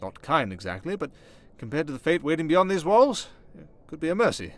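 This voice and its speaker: A man playing a smooth-talking, sarcastic vampire noble, chatting idly and vainly with a fellow prisoner in an ASMR roleplay. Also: a little high, big pitch swings, brisk. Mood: musing.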